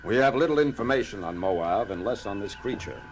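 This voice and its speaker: A man's voice: on the low side, animated, a little fast, slight background noise, rough recording. A man playing a logical alien starship science officer, speaking formally and precisely in a science fiction audio drama. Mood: measured. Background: loud dramatic music.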